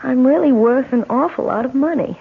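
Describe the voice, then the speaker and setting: A woman's voice: high-pitched, brisk, gravelly, breathy edge, faint music behind, heavily acted. A woman playing an anxious, haunted young wife who speaks hesitantly in a 1970s radio drama. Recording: tinny, hissy 1970s radio broadcast.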